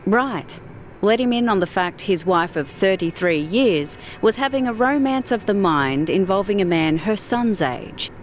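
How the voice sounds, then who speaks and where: A woman narrating a scripted audiobook story in an expressive storytelling manner. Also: mid-range voice, unhurried, a little hiss or hum.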